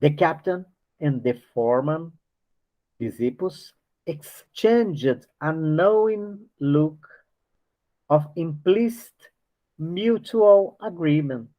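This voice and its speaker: A man leading a book study class. He reads passages aloud and explains them, halting often and restarting as he searches for words. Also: a little high, animated. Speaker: study group leader. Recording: dull lo-fi recording.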